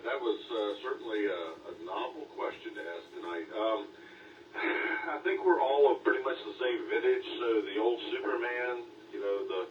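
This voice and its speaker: An older man calling in to a ham radio net, reminiscing casually with halting pauses, heard through a radio transmission. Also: on the low side, distant mic. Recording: muffled radio link, roomy, faint noise.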